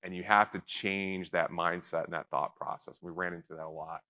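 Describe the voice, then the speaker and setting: Deep low guy on a panel, punchy forceful tone, earnest, keeps saying right?. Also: little pitch movement. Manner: earnest, fast bursts, repeats points with "right?". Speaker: panelist from a risk and audit team. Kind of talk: conference panel answer. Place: conference stage.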